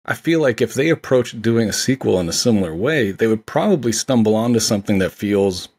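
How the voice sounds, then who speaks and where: Low-pitched man chatting enthusiastically about film. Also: lively intonation, brisk, darkish tone, husky. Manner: enthusiastic, conversational. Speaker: podcast guest, film director. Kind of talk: casual film discussion.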